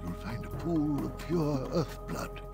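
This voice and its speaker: A man playing an old, weak and wary healer in a fantasy video game, speaking in a dramatic, halting way. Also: a little high, lively intonation, vocal fry, heavily acted. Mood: grave, weary. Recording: loud music behind the voice.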